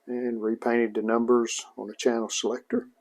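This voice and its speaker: A man's voice: mid-range voice, flat delivery, moderate pace, a little mellow. A man casually narrating his own hands-on restoration work as a hobbyist host, in a plain-spoken, show-and-tell way.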